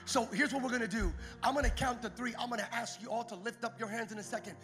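A man preaching a Pentecost sermon as pastor, moving from quiet, conversational storytelling to loud, shouted exhortation. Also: very high voice, quick pace. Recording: music playing behind the preaching.

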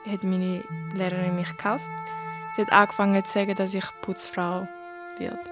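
A woman's voice: medium pitch, level tone, moderate pace. A woman from Portugal giving a personal account of her own experience. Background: instrumental music.

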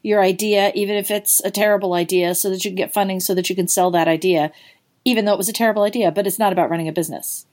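A woman interviewing a guest in a casual, curious way, chatty and quick to laugh. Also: medium pitch, fairly even intonation, darkish tone. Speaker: interviewer, host.

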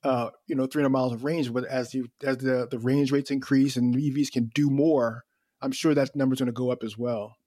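A man's voice: medium pitch, steady tone, a little fast, dark tone, dry recording, clean studio sound. A man hosting a trade radio show, conversational as he leads the guest with questions. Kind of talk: radio interview.

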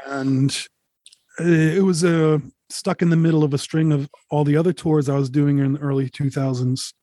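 A man in his forties, a touring musician, telling candid road stories in a relaxed, rambling way, swearing freely. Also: a little high, normal speed, no echo. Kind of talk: casual interview, candid storytelling.

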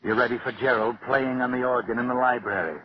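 An actor playing a sinister, insinuating narrator who taunts a would-be killer in dramatic 1940s radio mystery style. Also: male voice, mid-range voice, fairly even intonation, lightly acted. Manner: dramatic, taunting, deliberate second-person storytelling. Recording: dull, noisy 1940s radio recording.

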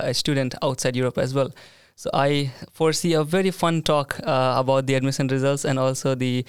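A man's voice: mid-range voice, smooth, no echo, clean studio sound. A man hosting a casual podcast chat, talking in a friendly way with plenty of "uh"s and "like"s. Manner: friendly, hesitant with many fillers. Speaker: podcast host.

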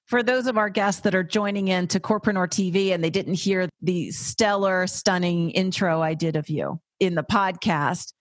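A woman's voice: fairly low pitch, normal speed, few pauses, dry recording. A woman hosting a podcast interview in an upbeat, effusive, chatty way. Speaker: podcast host. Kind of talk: casual interview chat.

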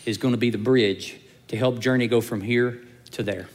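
A man speaks as lead pastor to his congregation, heartfelt and a little halting with frequent fillers. His voice sits at a middle pitch, and long reverb from the church hall trails after his words. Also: steady tone. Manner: warm, emotional, hesitant with fillers. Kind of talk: sermon announcement. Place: church sanctuary.

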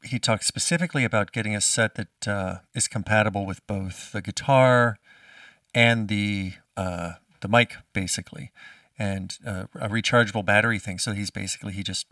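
Male interviewer with a lower voice and a rambling manner, recorded dry and a bit off the mic. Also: steady tone, a little fast, creaky, fairly bright tone, no room sound, distant mic. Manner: rambling questions with many restarts. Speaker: podcast host interviewer. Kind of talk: casual interview questions.

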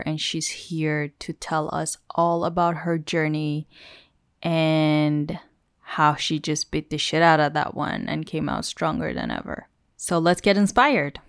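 A woman with a slightly low voice hosts a casual podcast interview. She talks in a chatty, enthusiastic way and laughs easily. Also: clear, resonant tone. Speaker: podcast host.

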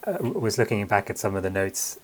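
A man's voice: on the low side, very animated, brisk. A man from London with a London accent, chatting casually and self-deprecatingly as an interview guest. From London, England. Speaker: guest, comedy writer.

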